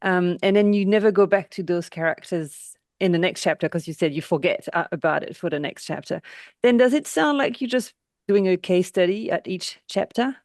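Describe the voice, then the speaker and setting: A woman's voice: fairly low pitch, lively intonation, moderate pace, darkish tone, no room sound. Audience questioner, woman from Australia, hesitant, dry close sound. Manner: polite, hesitant with fillers. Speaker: audience member asking a question.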